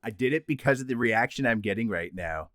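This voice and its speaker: A man's voice: medium pitch, animated, brisk, fairly bright tone, no room sound, studio quality, natural voice. A man voicing an abrasive, sarcastic teenage boy in an amateur webcomic dub, snide and grumbling. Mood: hostile, mocking. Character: abrasive sarcastic teenage boy, anonymous 4chan website personified.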